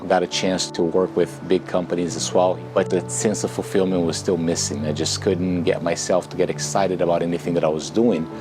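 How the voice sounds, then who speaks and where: Low-voiced Brazilian man over music. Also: flat delivery, fairly quick, hardly any pauses. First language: Portuguese. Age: 30s. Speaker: author and entrepreneur promoting a book. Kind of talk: earnest personal testimonial.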